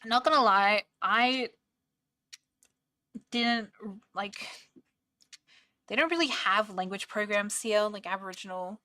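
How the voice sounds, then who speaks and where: A woman's voice: mid-range voice, takes it slowly, long pauses, bright, forceful tone, no room sound. A young woman chatting casually and rambling while she studies on a stream. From Australia. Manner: rambling, chatty, many "like" fillers.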